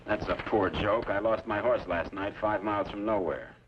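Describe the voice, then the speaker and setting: Man acting a terse, worn-out western drifter, low-pitched and guarded, on a noisy lo-fi recording. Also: normal speed, lightly acted. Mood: weary, guarded. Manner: terse, laconic. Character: weary, guarded former rebel soldier drifting west. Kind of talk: old-time western drama acting. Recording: dull, clearly noisy lo-fi recording.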